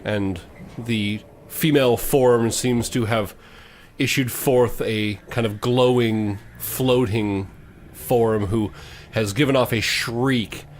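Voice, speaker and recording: Man narrating a fantasy game, medium pitch. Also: slight background noise. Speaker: game master, podcast host.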